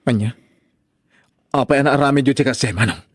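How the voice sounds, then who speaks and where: A man's voice: mid-range voice, brisk, dry recording, studio quality, close to an everyday voice. A man playing an earnest, pleading father searching for his lost son in an audio drama. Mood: anguished. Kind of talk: amateur audio drama acting.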